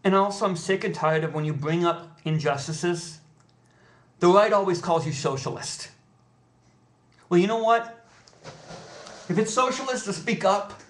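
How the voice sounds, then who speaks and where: An American man making an impassioned personal appeal, speaking earnestly and pleadingly with halting, deliberate pauses. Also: sits high. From United States.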